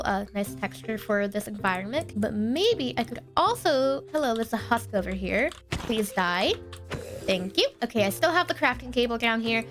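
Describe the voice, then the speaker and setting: A young woman with a bright, high voice talks through her building game as she plays, chatty and thinking aloud. She sounds a little stuffed up and congested. Faint background music plays under her voice. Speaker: gaming YouTuber. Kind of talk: let's play commentary.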